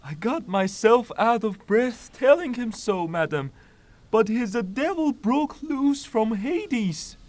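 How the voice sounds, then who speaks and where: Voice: medium pitch, lively intonation, takes it slowly, darkish tone. A performer playing a flustered, deferential household servant in a theatrical audio drama, with a plaintive manner.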